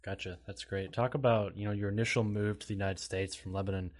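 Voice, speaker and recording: Relaxed low-voiced male podcast host. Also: quick pace. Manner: relaxed, easygoing questioning. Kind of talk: casual podcast interview.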